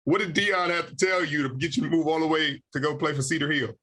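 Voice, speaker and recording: Husky laid-back man hosting a podcast. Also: a little high, fast, sharp tone. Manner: laid-back, slangy, frequent "you know". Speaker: podcast host. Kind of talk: casual interview chat.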